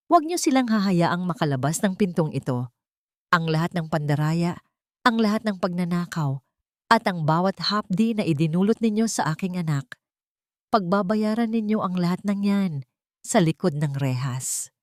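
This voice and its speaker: A woman's voice: fairly low pitch, expressive, moderate pace, no echo, studio quality, lightly acted. Woman voicing a solemn old billionaire father. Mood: cold, commanding. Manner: commanding, solemn, dramatic. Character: stern old billionaire father, grieving and remorseful.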